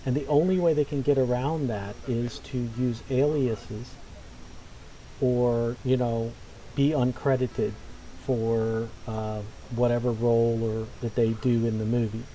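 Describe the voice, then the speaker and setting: A man's voice: medium pitch, dark tone, a little hiss or hum, poor audio. A man giving casual, unscripted gaming commentary in a rambling, hesitant way. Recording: faint game ambience behind.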